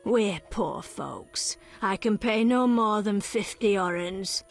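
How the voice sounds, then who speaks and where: An actress playing a solemn, cryptic female village elder in a video game, speaking in measured, archaic phrases. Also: medium pitch, creaky, soft background music. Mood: sombre.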